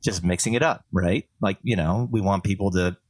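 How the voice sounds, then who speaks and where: A man's voice: sits low, moderate pace, no room sound. A man from Canada chatting casually as a podcast co-host, explaining things in a thoughtful, discursive way.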